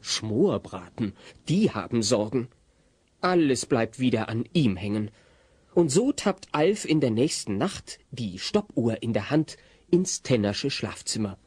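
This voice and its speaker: Wry storyteller for a comedy radio play, husky and expressive. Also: male voice, mid-range voice, unhurried. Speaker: narrator. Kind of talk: wry scripted narration of comedy audio drama.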